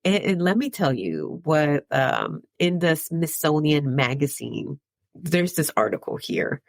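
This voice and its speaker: Gravelly-voiced woman musing on her podcast. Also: sits low, big pitch swings, unhurried, dry recording, studio quality. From Dominican Republic. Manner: conversational, tags points with "right?", chuckles between thoughts. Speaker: podcast host. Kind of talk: reflective solo podcast monologue.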